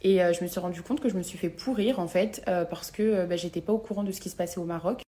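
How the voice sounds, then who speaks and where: A woman's voice: on the low side, quick pace, dark tone. Emphatic woman speaking in casual slang. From Algerian family. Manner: slangy, emphatic, repeats herself for stress. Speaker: social media vlogger. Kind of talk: casual confessional story to followers.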